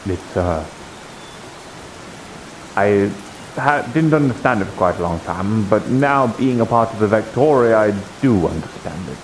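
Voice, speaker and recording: A man playing an earnest, devout, plain-spoken holy warrior in an improvised fantasy roleplay, speaking solemnly and haltingly. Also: on the low side, animated, slight background noise, poor audio. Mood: earnest, reflective. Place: live online VR roleplay stream.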